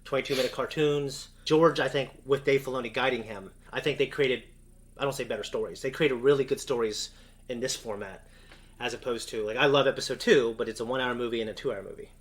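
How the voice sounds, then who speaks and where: A man's voice: mid-range voice, fairly even intonation, fast talker. A man co-hosting a casual fan discussion, talking in a rambling, enthusiastic way. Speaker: co-host of a reaction show.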